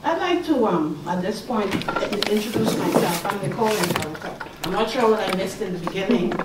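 A middle-aged woman from the Bahamas with a Bahamian accent, leading a slow, soothing guided meditation on stage as a panel artist. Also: mid-range voice, lively intonation, heavy background noise. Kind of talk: guided meditation address to an audience. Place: large hall, on stage. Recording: echoey hall from far off.

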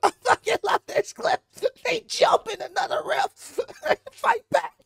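A man in his thirties with a hoarse voice, hosting a casual reaction video from a home streaming room on a studio mic, chatting easily and breaking into loud laughs and shouts. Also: extremely high pitch, unhurried, heavy background noise. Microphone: studio mic on arm, headphones.

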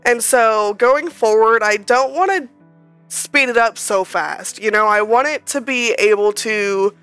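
Woman, rambling vlog voice-over, a bit nasal from a cold, smooth clear tone, faint music behind. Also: a little high, unhurried, a bit bright, dry recording. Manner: chatty and rambling, many ums and restarts. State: slightly nasal, recovering from a cold. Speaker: Sims speed-build creator. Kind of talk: casual voice-over commentary.

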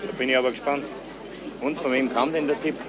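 A man's voice: mid-range voice, steady tone, quick pace, a crowd in the background, lightly acted. An actor playing a brusque policeman in a radio crime drama, with a colloquial way of talking. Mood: sceptical. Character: brusque male policeman, the detective's colleague. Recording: noisy 1970s radio drama recording.

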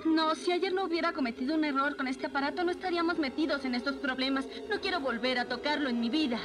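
An actress voicing an earnest young warrior captain in a cartoon dub, emotive, with a Latin American Spanish accent. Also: female voice, sits high, fast, lightly acted. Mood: anguished. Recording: music under the voice.

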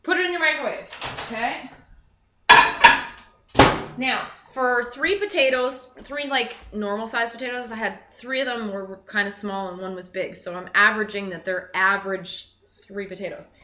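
A woman's voice: fairly high pitch, steady tone, normal speed, bright, forceful tone. A young woman giving a casual, chatty cooking demonstration to camera, thinking aloud as she works. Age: young adult. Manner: chatty, informal, thinking aloud while working. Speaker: cooking show host. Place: indoor home kitchen. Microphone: camera mic a few feet away. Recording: roomy, echoey, noisy kitchen recording.

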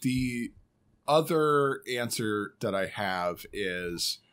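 A man's voice: lowish voice, animated, drawn-out words, a bit bright. A man co-hosting a casual podcast chat at a table mic, dropping dry, wry quips. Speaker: podcast co-host. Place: living room studio. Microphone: desk mic on stand.

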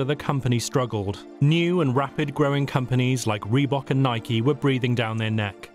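A man reading a scripted documentary narration as the narrator. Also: mid-range voice. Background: background music.

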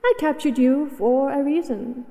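A woman's voice: high-pitched, a little slow, warm tone, big-room sound. A woman voicing a possessive, haughty dragoness in a scripted roleplay audio, speaking with condescending, teasing command. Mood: possessive, coaxing. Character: possessive, haughty, mighty female dragon captor.

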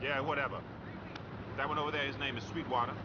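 An actor playing a gruff, blunt army squad sergeant who gives commands in a military video game. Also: male voice, medium pitch, fairly even intonation, fast talker, highs cut off, natural voice. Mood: dry, blunt. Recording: clear vehicle and outdoor noise behind.

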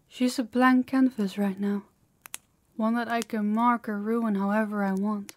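A woman's voice: a little high, no echo. A woman voicing a harsh, dominant female demon in an intimate audio roleplay, with a haughty, commanding, taunting manner. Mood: gloating admiration. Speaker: voice actor.

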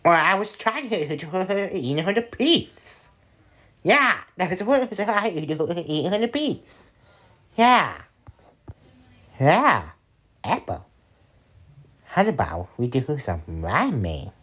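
A young adult performing voice lines theatrically, swinging between hushed chanting and loud exclamations. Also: mid-range voice, sing-song, takes it slowly, pauses often.

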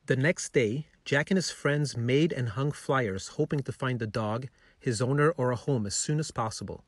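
A man's voice: mid-range voice, moderate pace, slightly rough, dry recording. A man narrating a scripted children's bedtime story.

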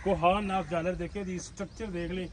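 A man's voice: high-pitched, steady tone, fairly quick, a little mellow. A man with a Pakistani accent hosting an outdoor livestock video, addressing viewers and briefly echoing what he is told. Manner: frequent short acknowledgements and repeats. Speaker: video host, interviewer. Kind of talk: livestock market video presenting. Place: open-air cattle farm yard. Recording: faint outdoor and vehicle noise.

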